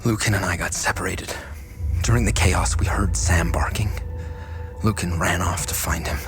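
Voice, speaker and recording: A man playing an anguished, determined father and history teacher in a video game drama, earnest and burdened. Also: sits low. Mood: worried.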